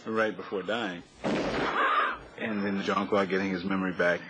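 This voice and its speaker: A man's voice: low voice, steady tone, a little slow, heavy background noise. A man giving interview commentary, recapping a film.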